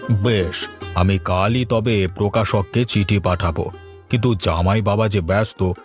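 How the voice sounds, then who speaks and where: A man's voice: on the low side, fairly even intonation, measured pace, over music, close to an everyday voice. A man voicing a patient, thrifty old village grandfather in a cartoon, with a folksy, coaxing way of talking. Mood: easygoing. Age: older. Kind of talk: cartoon voice acting.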